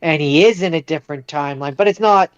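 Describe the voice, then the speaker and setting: A man's voice: fairly high pitch, fast talker, clean tone, no room sound. A man co-hosting a podcast, casually explaining films and going back over his points, on slightly dull audio. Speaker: podcast co-host. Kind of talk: casual film discussion.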